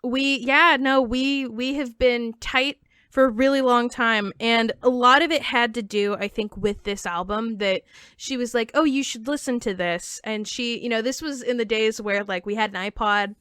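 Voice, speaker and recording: A woman in her twenties chats on a podcast as a comedian guest, spinning stories with plenty of "like" fillers and quick jokes. Her voice sits a little high, smooth and clear. The sound is close and dry. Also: steady tone, a bit bright, no room sound. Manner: chatty storyteller, many "like" fillers, quick jokes. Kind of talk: casual podcast chat.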